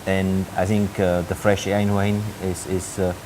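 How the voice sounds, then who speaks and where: Deep flat-voiced Austrian man, hissy. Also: normal speed. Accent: Austrian German. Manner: hesitant, many fillers and restarts. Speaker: hotel general manager. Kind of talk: interview answers.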